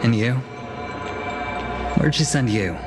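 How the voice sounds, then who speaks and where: Man playing grumbly jaded gambler in a game, normal pitch, sarcastic, music going behind. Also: slow pace, natural voice. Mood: sardonic. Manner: sardonic. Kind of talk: game voice acting, conversational.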